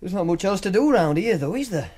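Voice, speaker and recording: An actor playing a cocky young student with a Northern English accent, wry and casual, in naturalistic radio drama. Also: male voice, high-pitched, sing-song, fast talker, a little mellow, slightly rough, exaggerated voice. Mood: guarded, wry. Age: young adult. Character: Mark, cocky young student with a betting knack.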